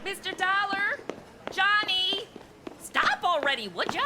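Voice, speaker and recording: A woman's voice: extremely high pitch, expressive, takes it slowly, heavy background noise, exaggerated voice. A woman voicing a sassy, chatty modern young American woman in a comedy audio drama, with sarcastic, exaggerated reactions. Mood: exasperated.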